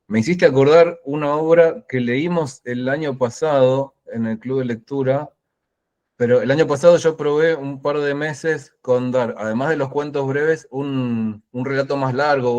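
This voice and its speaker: Host: man running a virtual book club, mid-pitched, musing, rambling. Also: darkish tone, highs cut off. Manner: rambling, thinking aloud. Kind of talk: casual video-call discussion. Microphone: video call.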